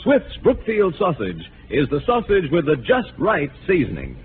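Male 1940s radio announcer, polished sales pitch, lively swooping delivery, narrow vintage broadcast sound. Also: normal speed, faint music behind. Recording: tinny old radio broadcast.

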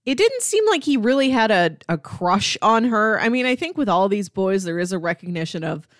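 Woman, podcast host, mid-range voice, animated casual chat sprinkled with "like", very dry sound. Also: medium pitch, normal speed, dry recording. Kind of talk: casual chat about comics.

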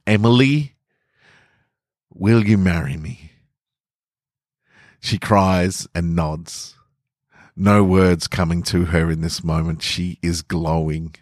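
A man's voice: low-pitched, no room sound, studio quality. A man hosting a storytelling podcast, moving between chatty introduction and dramatic first-person fiction narration. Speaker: podcast host and fiction writer reading own stories.

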